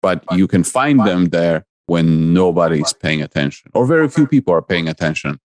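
A man's voice: sits low, expressive, normal speed. A man, a guest investor answering interview questions earnestly in short listed phrases. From Poland.

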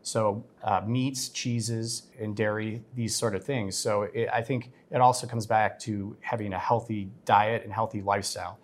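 A man with a somewhat low voice speaks as a podcast guest. He is a physician and researcher, and he explains in a measured, technical manner.

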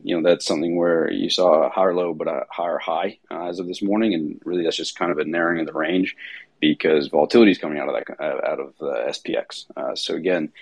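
A tired man with a raspy voice hosting a casual market-review chat, rambling with many fillers and restarts. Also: low-pitched, no room sound. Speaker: host.

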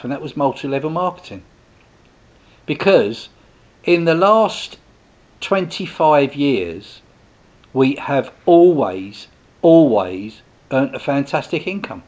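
A man's voice: medium pitch, highs cut off. A man, talking casually to viewers in a rambling, chatty way full of restarts and asides. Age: 60s. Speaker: host, direct sales business owner.

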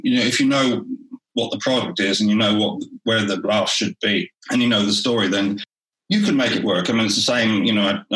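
A man who is a theatre director, talking through his work in a relaxed interview and often restarting his phrases. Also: lowish voice, echoey room, poor audio.

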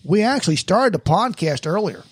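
A man with a nasal, husky voice pitched a bit high, trading jokes in easy podcast banter. The sound is dry and close, with no room around it. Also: animated, moderate pace, dry recording. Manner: joking, teasing banter. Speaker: podcast co-host. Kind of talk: casual chat.

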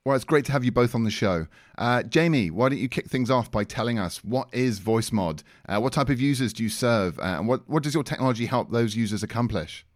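A man's voice: medium pitch, expressive, fairly quick, hardly any pauses, no room sound, clean studio sound. A man hosting a podcast, introducing the show and interviewing guests in a conversational way. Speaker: podcast host.

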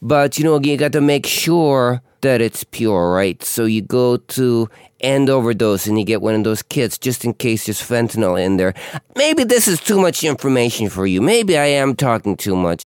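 Male podcast host, hoarse voice, lively swooping delivery, dry close sound. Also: mid-range voice, moderate pace, dry recording. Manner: chatty, joking, self-mocking. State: hoarse, scratchy voice. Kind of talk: casual rambling monologue.

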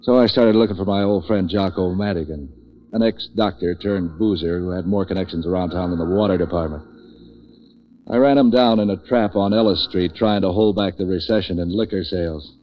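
Actor as low-voiced deadpan tough guy, vintage radio. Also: brisk, natural voice. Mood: deadpan, world-weary. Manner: deadpan, clipped, wisecracking. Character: street-wise tough hired hand, sardonic narrator hero. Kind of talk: 1940s radio detective acting and narration. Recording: dull vintage 1940s radio recording.

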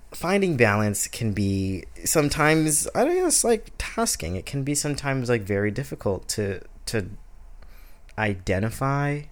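A man in his twenties hosting a casual solo podcast, rambling with many fillers and restarts. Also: mid-range voice. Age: 20s. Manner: rambling, heavy use of "like", restarts. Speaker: podcast host.

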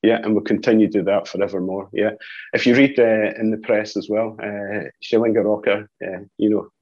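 Scottish guy talking business on a call, bit raspy, muffled roomy sound, says you know a lot. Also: fairly low pitch, fairly even intonation, a little fast, darkish tone. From Scotland. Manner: repeats "you know" and "as well" as verbal tics. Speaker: company executive being interviewed. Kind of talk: informal business interview. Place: office, on a video call.

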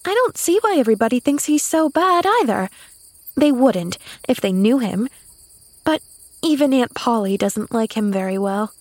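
A cheerful young girl from a storybook, acted by a woman reader. Her voice is high and earnest, with guileless, loyal chatter. The recording is close and dry. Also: animated, moderate pace, darkish tone, no echo. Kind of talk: audiobook character voice.

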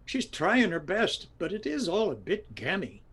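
A man's voice: high voice, huge pitch swings, measured pace, breathy edge, full character voice. A man playing a wry, doting older husband. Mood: unflappable. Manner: wry, gentle teasing. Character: affectionate, teasing, unflappable older spouse of a singer. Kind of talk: comedy audio drama acting.

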